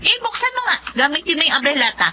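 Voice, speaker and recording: A woman's voice: sits high, big pitch swings, fast talker, background noise. An actress playing a brazen, evasive Filipino woman who runs a cheap eatery, exclamatory and defensive in radio drama style. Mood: bossy, casual.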